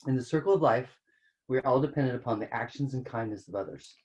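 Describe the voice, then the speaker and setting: A woman's voice: rumbling low, quick pace, warm tone, highs cut off. A woman co-hosting a live book reading with silly, playful banter and sudden exclamations. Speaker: co-host of a live storybook read-along. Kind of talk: casual joking livestream chat.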